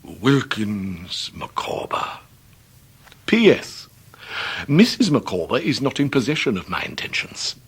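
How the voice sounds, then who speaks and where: A pompous Victorian English debtor, mid-pitched and grandly theatrical. He rolls out ornate, long-winded phrases with downcast dignity, as in a period radio drama. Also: wildly swooping pitch, a little slow, a bit bright, slightly rough, exaggerated voice. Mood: mournful, grandiose.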